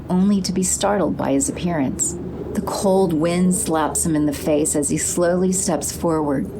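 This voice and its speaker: Clear background noise runs under a woman's slightly low voice. She delivers scripted audio drama narration in the role of storyteller.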